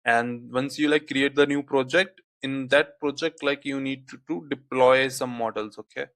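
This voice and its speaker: A man whose first language is Hindi, walking through a software tutorial on screen, peppering his speech with "okay, like" fillers. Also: mid-range voice, fairly even intonation, normal speed, no room sound. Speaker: tutorial instructor.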